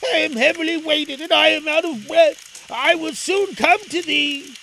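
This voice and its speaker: A headstrong, earnest lad from an old storybook tale, piping up high in archaic words, as a male storyteller plays him, noise behind. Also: measured pace, bright, forceful tone, full character voice. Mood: exhausted, pleading. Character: obstinate, boyish young lad, pleading and breathless.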